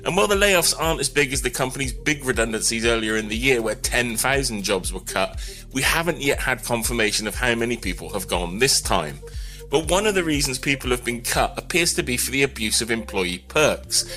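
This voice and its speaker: A man delivers conversational tech news with a bright, driving voice at a medium pitch. He is wry and opinionated as he explains the stories. Light music plays underneath. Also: animated, a little fast. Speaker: technology news commentator. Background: background music.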